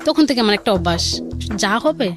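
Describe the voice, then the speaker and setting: A woman's voice: medium pitch, lively intonation, moderate pace. Bangladeshi woman telling her story over music. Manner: colloquial, animatedly quotes dialogue back and forth. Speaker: caller or guest telling her life story. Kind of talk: conversational personal storytelling.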